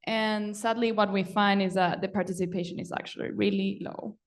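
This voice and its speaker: A young woman from Chile, a grad student giving a short flash talk at a conference in an earnest, halting way with fillers. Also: medium pitch, steady tone. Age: young adult.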